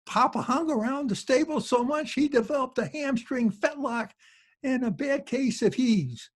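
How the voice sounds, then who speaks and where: A man playing a folksy old joker with a raspy voice, rambling along in an old-time radio comedy. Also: extremely high pitch, normal speed. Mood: gleeful reminiscing. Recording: home video-call recording.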